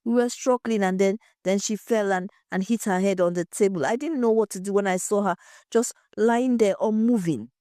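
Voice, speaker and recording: A woman's voice: medium pitch, normal speed, mellow, no room sound, clean studio sound, close to an everyday voice. A woman voicing a tearful, guilt-ridden wife in an audio story, pleading and faltering as she confesses. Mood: distraught. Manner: wailing, pleading, faltering repetitions.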